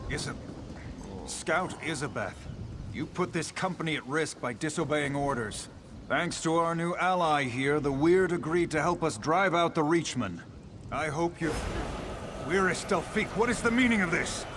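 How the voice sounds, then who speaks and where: A middle-aged man voicing a stern, proud military captain and protective father in a video game, with a commanding, forceful delivery. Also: a little high, moderate pace, background noise, natural voice. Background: game music.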